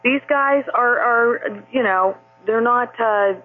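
A woman's voice: a little high, takes it slowly. A woman calling in to a talk radio show, rambling and indignant with many fillers. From Maine. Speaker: caller. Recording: phone line, husky.

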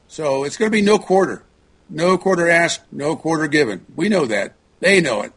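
A man speaking as a talk show guest, halting and repeating words, often clearing his throat. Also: fairly high pitch, lively intonation, a bit bright.

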